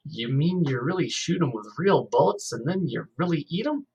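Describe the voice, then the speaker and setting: A man's voice: fairly high pitch, animated, normal speed, gravelly, darkish tone, a little hiss or hum, pitched above the performer's own voice, poor audio. A man voicing a curious, doubtful schoolboy narrator who asks questions in a skeptical way.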